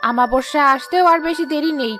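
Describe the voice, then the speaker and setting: A woman's voice: sits high, brisk, music in the background, lightly acted. A woman voicing a hungry, scheming man-eating forest witch in a cartoon, menacing and gloating.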